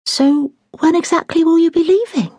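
A woman voicing an excitable young female servant, asking eager, breathless questions. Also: very high voice, normal speed, no room sound, studio quality, voice pushed up. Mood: excited.

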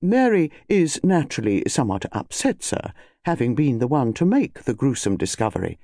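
A man's voice: mid-range voice, big pitch swings, normal speed, mellow, dry recording, clean studio sound. A man voicing an impressive, very formal and reproachful English butler in an audiobook story. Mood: discreet, formal.

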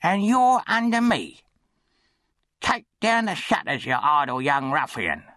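Loud, high-pitched bullying boy. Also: huge pitch swings, a little slow, no room sound, clean studio sound, exaggerated voice. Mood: pompous. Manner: taunting, pompous. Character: bullying, self-important big charity boy, loud and sneering.

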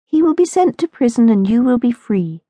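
A woman's voice: a little high, sing-song, normal speed, warm tone, no room sound, pitched above the performer's own voice. A woman voicing a fearless imaginary girl friend. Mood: bold.

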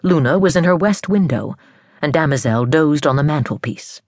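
A woman narrating an audiobook of fiction in a measured, storybook manner with careful phrasing. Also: sits low, expressive, a little mellow, dry recording, studio quality.

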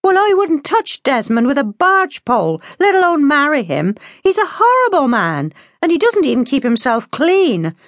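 A defiant young woman as a female narrator plays her speaks up in a high, animated voice. She is blunt and firm in this storybook role, recorded dry and close. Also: moderate pace, few pauses, no echo. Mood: defiant, indignant. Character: defiant, outspoken young woman of twenty-one.

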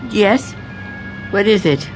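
An actress playing a frightened, suspicious shut-in old woman in a radio drama, fretful and halting, repeating herself. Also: medium pitch, music in the background, natural voice. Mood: guarded, curt.

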